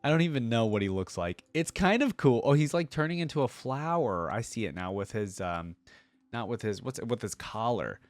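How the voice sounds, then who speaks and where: A man's voice: mid-range voice, lively intonation, a little fast, dry recording. A man hosting a reaction video, giving casual, informal commentary and thinking aloud as he goes.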